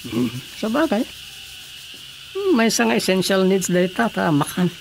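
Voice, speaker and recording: A man acting an easygoing villager in an Ilocano radio drama, with an Ilocano accent and a creaky voice. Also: high voice, measured pace, exaggerated voice. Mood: casual, curious. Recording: steady background noise.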